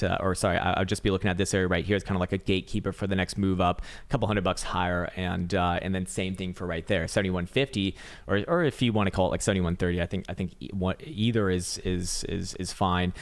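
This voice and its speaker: A raspy-voiced man giving casual, rambling chart analysis, swearing now and then and full of fillers and restarts. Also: sits low, steady tone, a little fast. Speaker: market analyst.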